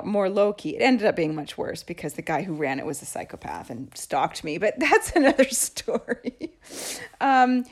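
A woman's voice: mid-range voice, darkish tone. A woman podcast host telling her own stories in a casual, chatty way, often laughing as she talks. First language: English. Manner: chatty, laughing through anecdotes. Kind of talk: casual storytelling monologue.

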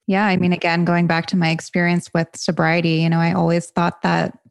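Gravelly low-voiced woman host. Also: a little fast, no room sound. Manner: relaxed, conversational, uses like and you know. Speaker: podcast host. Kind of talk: casual interview chat.

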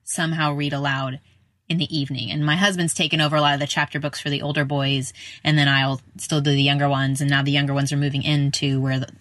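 A woman's voice: sits low, flat delivery, fast, a bit bright, dry recording. A middle-aged woman hosting a podcast, chatting informally in a casual interview style. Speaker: podcast host, speech-language pathologist.

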